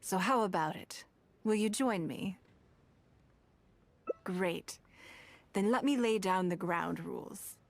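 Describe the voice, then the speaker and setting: A woman's voice: mid-range voice, slow pace, vocal fry, long pauses, dry recording. An actress playing a shrewd, wealthy noblewoman in a video game, composed, assured and refined. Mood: confident, inviting. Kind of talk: video game character acting.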